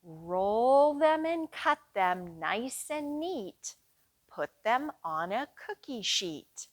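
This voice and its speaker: A woman hosting a children's storytime as a librarian, reading aloud in a sing-song, deliberate way for young listeners. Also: on the high side, big pitch swings.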